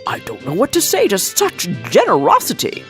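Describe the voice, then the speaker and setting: Woman doing a polite storybook gentleman, totally astonished, voice swooping up and down, music behind. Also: medium pitch, wildly swooping pitch, normal speed. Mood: astonished gratitude. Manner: courteous, exclamatory. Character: astonished, grateful well-to-do gentleman. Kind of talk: storybook character voice.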